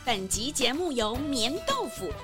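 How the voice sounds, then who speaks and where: A woman giving an expressive, scripted narration of a story for children. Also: high voice, a little slow, music in the background.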